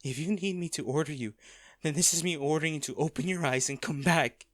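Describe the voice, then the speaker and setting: A man acting a hardened lover pleading at a comatose partner's bedside in a romantic audio roleplay, halting, intimate and earnest. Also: a little high, dry recording, studio quality, close to an everyday voice. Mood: desperate, commanding.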